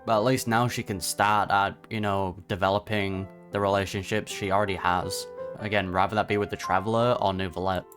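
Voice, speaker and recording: A man's voice: on the low side, steady tone, brisk, a bit bright, soft background music. A man giving casual reaction commentary on a video game, conversational and sympathetic in manner. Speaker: gaming reaction streamer.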